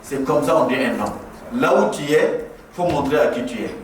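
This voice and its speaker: A man's voice: medium pitch, lively intonation, moderate pace, a little hiss or hum. A middle-aged man from Burkina Faso, giving an impassioned ceremonial speech at a mosque inauguration and driving his points home through repetition. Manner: impassioned, preaching with rhetorical repetition. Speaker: guest speaker at a mosque inauguration. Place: large echoing prayer hall. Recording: very echoey hall, voice far from the mic.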